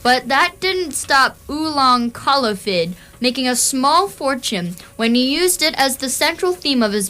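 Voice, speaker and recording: Guidebook narrator for radio theatre female performer: fairly high, bright, dry, measured and informative. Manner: measured, explanatory.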